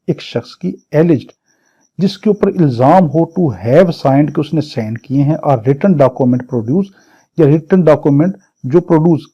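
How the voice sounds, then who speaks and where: Dark, husky-voiced Pakistani man lecturing. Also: a little high. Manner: didactic, repeats and rephrases point by point. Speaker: law lecturer. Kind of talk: exam-prep lecture, reading English statute phrases and explaining each. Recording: dull, muffled lo-fi audio.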